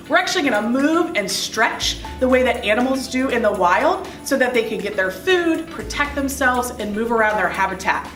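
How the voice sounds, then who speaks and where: Upbeat lady teaching kids exercises, really animated high voice, echoey, faint music. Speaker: aquarium educator. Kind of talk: upbeat children's exercise lesson. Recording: echoey, distant from microphone.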